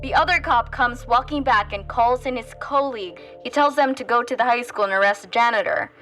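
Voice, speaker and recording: Woman acting teen high school girl, highish voice, casual but uneasy storytelling, podcast drama with music clearly playing under it. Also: fairly quick, fairly bright tone, lightly acted. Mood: stunned. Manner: casual, candid youthful storytelling. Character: shaken teenage high school girl recounting trouble. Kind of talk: dramatic first-person testimony in audio drama.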